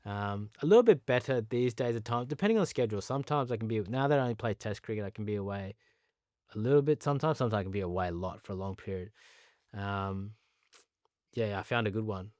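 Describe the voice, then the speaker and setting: A man's voice: fairly low pitch, fast talker, a little mellow, dry recording, studio quality. A man in his thirties with an Australian accent, born in Pakistan, talking candidly as an interview guest, earnest and chatty, sometimes laughing at himself. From Pakistan, raised in Sydney. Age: 30s.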